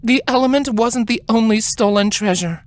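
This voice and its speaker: A regal elder alicorn queen, acted out by a woman narrator. Her voice is high and commanding, with a grim, authoritative big-sister sternness. It suits a dramatic fantasy storybook. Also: moderate pace, lightly acted. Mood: firm, cautioning. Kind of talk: dramatic fan fiction reading.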